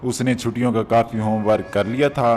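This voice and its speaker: A man's voice: medium pitch, fairly even intonation, fairly quick. A man narrating a moral story for children as a storyteller. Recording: music behind the voice.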